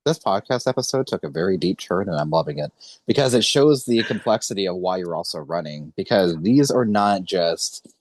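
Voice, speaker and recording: A young adult man from the United States hosting an interview podcast, speaking earnestly with emphatic, deliberate phrasing. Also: low-pitched, lively intonation, no room sound.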